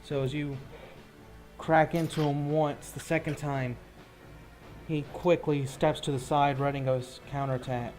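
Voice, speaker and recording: A man's voice: on the high side, level tone, moderate pace, darkish tone, faint music behind. A man narrating a tabletop roleplaying game as game master, telling the action in a casual, descriptive way.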